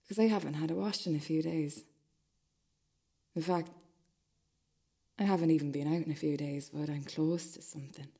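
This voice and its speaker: An uneasy, obsessive loner acted by a woman rambles hesitantly through a radio play monologue. The voice is low, dark and mellow, with a husky breathiness. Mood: fixated.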